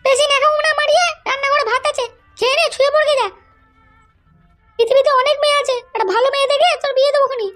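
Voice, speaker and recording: Squeaky anxious cartoon mom, talks super fast, fusses over her boy. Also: steady tone, a bit bright, soft background music. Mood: soothing. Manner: anxious, doting. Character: fussing worried mother, high-pitched. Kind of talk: cartoon voice acting.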